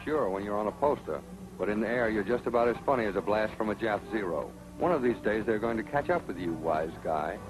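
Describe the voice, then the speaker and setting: A man's voice: fairly low pitch, lively intonation, a little fast, over music, rough recording. A man narrating a military training film with a stern, scolding, instructive manner. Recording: old film soundtrack with hiss.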